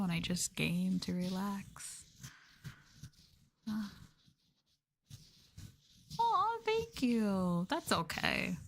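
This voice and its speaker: A woman doing a live ASMR stream, talking softly and breathily to viewers in a gentle, subdued way, often dropping into a whisper close to the mic. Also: mid-range voice, slight background noise. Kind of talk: ASMR soft talking, casual chat with viewers. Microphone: close ASMR microphone.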